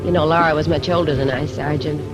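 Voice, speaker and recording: An actress playing a vain, needy thirty-eight-year-old murder suspect coming apart, in an old 1950s radio drama. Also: female voice, low voice, fairly even intonation, fast talker, over loud music, lightly acted, low-quality recording. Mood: coy, wistful. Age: middle-aged. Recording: vintage 1950s radio recording.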